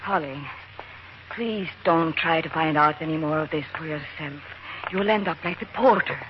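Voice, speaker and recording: A woman playing a frightened, weary young woman who speaks softly and pleads in a 1950s radio drama. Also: low voice, moderate pace, dull audio, close to an everyday voice. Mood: tender. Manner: soft, pleading. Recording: hissy old radio broadcast.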